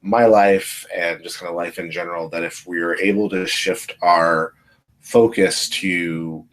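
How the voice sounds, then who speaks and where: A man's voice: sits low, fairly bright tone. A man co-hosting a casual podcast chat, thoughtful and a little hesitant, backing his partner with short affirmations. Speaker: podcast co-host and spiritual director.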